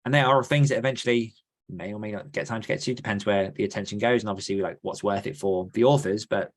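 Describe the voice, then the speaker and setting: A man's voice: lowish voice, fast talker. A man co-hosting a podcast in a casual, informal chat style, thinking through plans as they talk. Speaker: podcast co-host.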